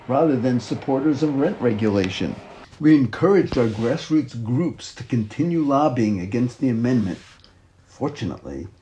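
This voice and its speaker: An older man reading a written first-person memoir aloud in a measured, deliberate way, as scripted narration. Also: medium pitch, dark tone. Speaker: author and activist reading his own reflective essay.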